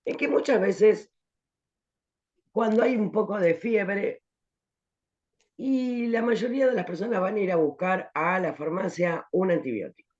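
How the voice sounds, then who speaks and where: A woman's voice: mid-range voice, measured pace, long pauses, darkish tone. Woman teacher, explanatory, checks in with "¿eh?", dull online-call sound. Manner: explanatory, rhetorical questions, frequent "¿eh?" tags. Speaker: pharmacology teacher. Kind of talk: online class lecture.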